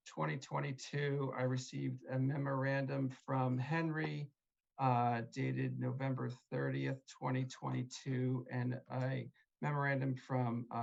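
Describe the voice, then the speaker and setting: Lawyer guy on a zoom call, gravelly voice, lots of ums, dull muffled audio. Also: medium pitch. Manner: polite, hesitant, many fillers and restarts. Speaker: attorney for the applicant. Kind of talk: formal hearing testimony over a video call. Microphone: video call.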